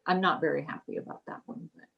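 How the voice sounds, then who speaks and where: Chatty woman in her sixties, low-pitched. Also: steady tone, fast, gravelly, highs cut off. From Kansas, US Midwest. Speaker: guest, writer. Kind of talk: casual interview chat.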